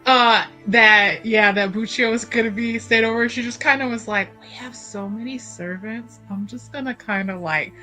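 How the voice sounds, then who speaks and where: A woman's voice: on the high side, normal speed, hardly any pauses, sharp tone, soft background music. A woman playing a tabletop roleplaying game, chatting casually about what her character does.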